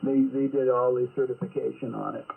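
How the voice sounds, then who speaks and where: A man's voice: medium pitch, flat delivery, fairly quick, gravelly. An older man telling about his work as an antenna inventor in casual radio chat, often searching for words with many fillers. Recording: tinny radio transmission with hiss.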